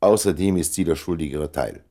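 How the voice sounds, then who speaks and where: A man playing a shrewd older gentleman confidant in a German radio play, husky, dry and gently probing. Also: extremely low pitch, fairly quick. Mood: suave, decided. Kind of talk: German radio play acting, comedy of manners.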